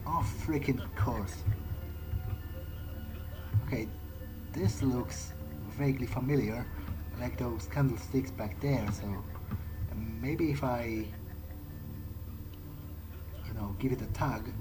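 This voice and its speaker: A man's voice: mid-range voice, slow, long pauses, poor audio. A man doing in-character video game commentary, musing aloud in a hesitant, wryly understated way. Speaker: let's play gamer. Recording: game music behind the voice. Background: video game soundtrack.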